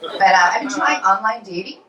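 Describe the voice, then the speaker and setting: A woman's voice: mid-range voice, animated, normal speed, sharp tone, muffled recording. A woman in her forties doing a stand-up comedy set in a club, telling punchy self-deprecating stories. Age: 40s. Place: comedy club in New York. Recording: roomy club sound, from far off.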